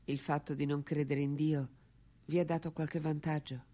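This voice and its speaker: An actress playing a philosopher's lifelong companion, a woman writer in a radio drama, speaking quietly with composed, deliberate gravity and tenderness. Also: low-pitched, little pitch movement, lightly acted. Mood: tender, curious. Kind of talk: radio drama acting and intimate narration.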